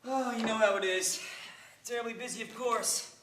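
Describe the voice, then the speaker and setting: A man's voice: very high voice, fairly even intonation, moderate pace, background noise. A man playing a cocky, self-important but awkward young small-town soldier in a stage play. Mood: awkward bragging. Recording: echoey, distant stage recording.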